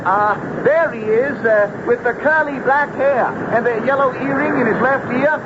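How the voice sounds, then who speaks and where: A man's voice: very high voice, normal speed. An actor playing a plain-spoken, teasing young fisherman in folksy, salty radio drama style. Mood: teasing, eager. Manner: folksy, salty seafaring talk with ain't and afore. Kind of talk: old-time radio drama acting. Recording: muffled, noisy vintage radio recording.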